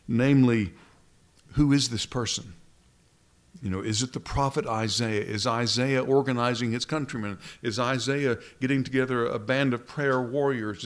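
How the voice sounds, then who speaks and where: A man preaching a Bible sermon, often repeating words as he searches for the next phrase. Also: medium pitch.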